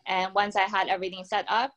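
A woman's voice: medium pitch, level tone, quick pace, fairly bright tone. A young woman from Taiwan, founder of a drink brand, explaining her product upbeat on a video call from a room at home. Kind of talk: video call panel answer.

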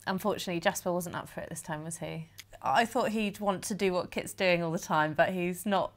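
A woman's voice: on the low side, brisk. A woman chatting casually on a sofa at home, talking about her day and laughing often. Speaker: host's wife, vlog co-presenter. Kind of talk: casual chat. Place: living room sofa indoors.

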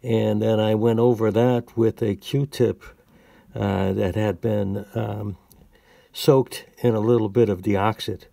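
A man casually narrating a hands-on repair as a hobbyist, hesitant and pausing often, recorded on a handheld phone. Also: on the low side, steady tone, gravelly, dark tone, no echo, clean studio sound. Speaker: hobbyist radio restorer.

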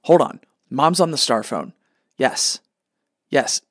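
Man playing petulant gamer god, imperious, flippant, radio comedy, medium pitch, dry sound. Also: animated, slow pace, dry recording. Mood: petulant, distracted. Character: petulant, bossy male god, a gamer mama's boy. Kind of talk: comedic radio play acting.

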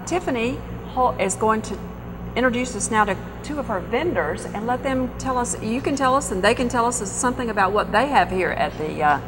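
A woman's voice: on the high side, lively intonation, fairly quick, few pauses. Gushy lady host, super enthusiastic, lots of echo and background noise. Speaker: TV talk show host. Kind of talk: casual chat.

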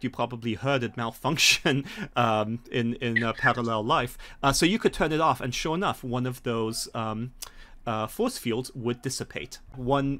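A middle-aged man running a tabletop game as game master, narrating haltingly with frequent pauses over a video call. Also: medium pitch, a bit bright. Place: home room, indoors.

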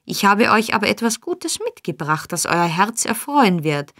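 A woman's voice: medium pitch, very animated, normal speed, fairly bright tone. A woman reading an audiobook, voicing a scheming old male fence who wheedles and placates. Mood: wheedling. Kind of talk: audiobook character voice.

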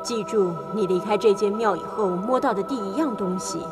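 A woman's voice: medium pitch, moderate pace, lightly acted. A woman playing a solemn, benevolent goddess in a cartoon, giving stately instructions. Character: solemn, benevolent goddess of mercy granting a wish. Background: music.

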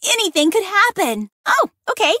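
A woman's voice: very high-pitched, big pitch swings, normal speed, pushed and bright, no room sound, clean studio sound, exaggerated voice. An actress playing a cheerful, friendly young woman bard in a video game, bubbly, folksy and adventurous. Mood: excited, friendly. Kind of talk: animated video game character lines.

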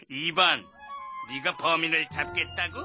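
A man voicing a mocking, scornful older prince in a children's puppet play, with sneering, drawn-out taunts. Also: a little high. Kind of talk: children's puppet theatre acting.

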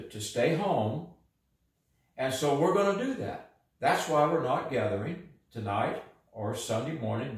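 An older man, a pastor, giving an earnest, upbeat encouraging message to his church from his living room. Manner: upbeat, earnest, preacherly with lively swings in pitch and pace. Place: living room in front of a fireplace, indoors. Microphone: camera a few feet away, no visible mic. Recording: echoey room, voice a bit far from the mic.

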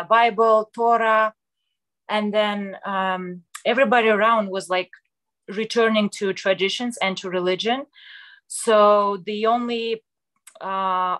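A young woman from Kazakhstan telling her story on a home video call, halting with many fillers and restarts. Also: medium pitch, a bit bright. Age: young adult. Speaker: guest sharing her story. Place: small room at home, indoors. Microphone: laptop webcam mic on video call.

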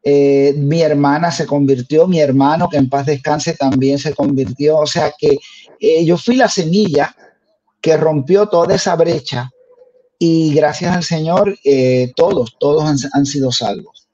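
An older man from Puerto Rico, a guest singer telling his story in an interview, earnest and unhurried. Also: on the high side, dark tone. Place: home room. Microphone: headset on video call. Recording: dull lo-fi video call audio.

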